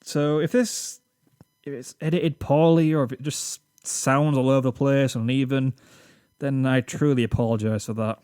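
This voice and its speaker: A British man speaks at a middle pitch, reading from a script in a rambling, self-deprecating way. He sounds a little awkward, as if unused to reading aloud. The recording is close and dry, made on a desk microphone. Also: steady tone, moderate pace, mellow, clear, resonant tone, no room sound. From Britain. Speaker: YouTube channel host. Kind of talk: scripted channel reflection.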